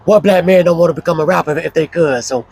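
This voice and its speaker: Man guest, hoarse and husky, fairly high voice, relaxed storytelling, faint music underneath. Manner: relaxed, slow, with frequent fillers. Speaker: interview guest. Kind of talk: casual storytelling interview.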